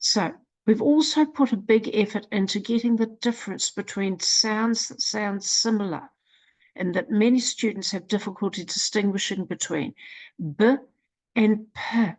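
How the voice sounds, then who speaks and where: A woman with a New Zealand accent presenting a literacy program in a slow, deliberate walkthrough, sounding out letters one at a time. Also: medium pitch, a little mellow, poor audio. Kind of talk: screen-recorded software walkthrough.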